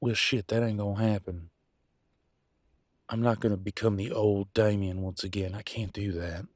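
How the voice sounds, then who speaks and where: A man playing a conflicted werewolf pack alpha in an audio roleplay, arguing emphatically in colloquial, sweary speech with dramatic pauses. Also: on the low side, steady tone, no echo, clean studio sound, natural voice. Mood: weary, resistant. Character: Damien, conflicted male werewolf pack alpha, defiant and remorseful. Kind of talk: scripted audio roleplay, one side of an argument.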